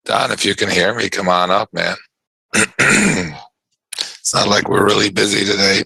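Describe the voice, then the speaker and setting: A man hosting a live trading chat on a video call, his voice worn from yelling, rambling and stammering through repeated words. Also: on the low side, unhurried, dry recording, low-quality recording. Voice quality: gravelly and husky. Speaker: trading show host.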